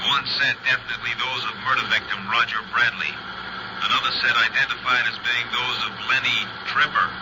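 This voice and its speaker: An actor playing a businesslike police radio dispatcher in an old radio drama, clipped and official. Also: male voice, mid-range voice, steady tone, brisk, background noise, lightly acted.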